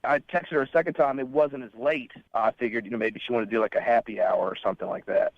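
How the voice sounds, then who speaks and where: A man's voice: medium pitch, fast talker, no echo. A man calling in to a radio show by telephone, casually recounting things in an earnest, slightly hesitant way. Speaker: phone-in caller. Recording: dull, lo-fi phone line.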